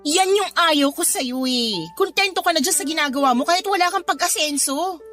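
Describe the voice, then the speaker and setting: A high-voiced, scolding pregnant wife. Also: female voice, a little fast, a bit bright. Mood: reproachful. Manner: exasperated, scolding, argumentative. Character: heavily pregnant, worried, nagging young wife. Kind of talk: Tagalog radio drama acting. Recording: music bed behind the voice.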